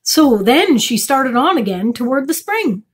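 A woman's voice: sits high, sing-song, measured pace, slightly rough, heavily acted. A woman voicing an earnest country uncle telling a tale in a storybook, with emphatic delivery.

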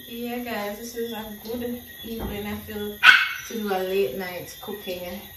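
A young woman with a Jamaican accent chatting casually through a late-night cooking vlog, relaxed and laughing often, recorded by a camera across a bare concrete kitchen. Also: mid-range voice, distant mic, heavy background noise. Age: young adult. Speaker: cooking vlogger. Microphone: camera on tripod across the room. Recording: echoey room, voice from afar, loud music. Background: loud background music.

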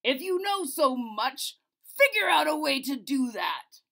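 A woman plays an exasperated, commanding king from a storybook. The voice is loud and fairly high, with big swings in pitch and heavy emphasis. He is worried and quick to lose his temper. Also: sing-song, gravelly, full character voice.

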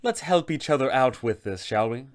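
A man voicing a haughty, scheming elven lich sorceress in a formal, measured, theatrical manner, for a tabletop game villain. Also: medium pitch, lively intonation, natural voice. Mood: formal, persuasive.

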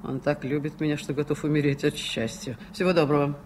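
A man's voice: fairly high pitch, little pitch movement, a little fast, lightly acted. A performer with a voice heard as male, playing a proud, scornful believer in the occult in a Soviet radio play, speaking with irony. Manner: scornful, ironic. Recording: noisy 1980s radio play recording.

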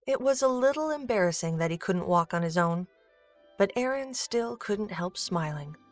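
A woman's voice: medium pitch, lively intonation, moderate pace, soft background music. A woman giving scripted narration for a storybook-style audio drama.

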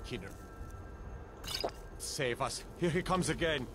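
A man's voice: mid-range voice, takes it slowly, heavy background noise, close to an everyday voice. An actor playing an alarmed male town guard in a fantasy video game, urgent and fearful. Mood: frightened, urgent.

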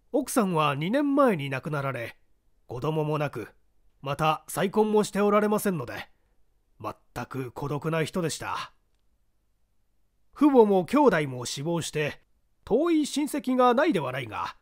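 Man voicing an earnest, high-pitched formal letter writer. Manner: polite, solemn, emphatic.

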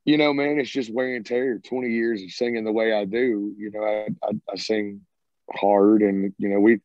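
A man in his thirties with a Southern drawl, answering questions in a relaxed, rambling way and dropping "man" often. His voice sits a little low. The sound is dry and dull, like audio from a video call. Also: normal speed, no echo. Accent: Southern American. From American South. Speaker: rock band singer and guitarist. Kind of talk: casual interview answers. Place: home, by a sided wall. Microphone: video call, earbuds.

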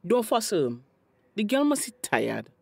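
Wildly animated Tobagonian godmother. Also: mid-range voice, unhurried, gravelly, slightly rough, no echo, full character voice. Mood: soothing. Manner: indignant, emphatic. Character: meddling, hot-tempered godmother, middle-aged village woman. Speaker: actor in radio drama. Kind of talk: radio drama acting.

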